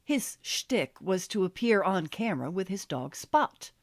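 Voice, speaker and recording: An American woman reading her memoir as an audiobook, telling it in a wry, expressive storytelling manner. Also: medium pitch, measured pace, dry recording, studio quality. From Los Angeles, USA. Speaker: author reading own memoir.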